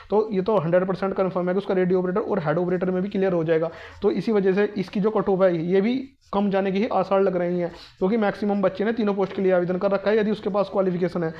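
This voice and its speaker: A man's voice: high-pitched, lo-fi sound. A man explaining exam information to students informally, in a repetitive, insistent, emphatic manner. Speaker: exam prep presenter.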